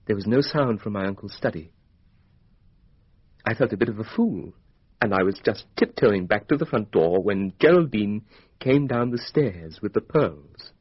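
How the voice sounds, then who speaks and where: Husky, defensive young English lord. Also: male voice, lowish voice. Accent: British. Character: young aristocratic murder suspect, defensive and flippant. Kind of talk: radio drama acting. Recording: dull, band-limited radio drama recording.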